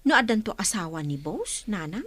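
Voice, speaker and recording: A woman's voice: mid-range voice, big pitch swings, normal speed, sharp tone, dry recording. A woman playing a worried daughter, questioning, in a radio drama. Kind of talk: Ilocano radio drama acting.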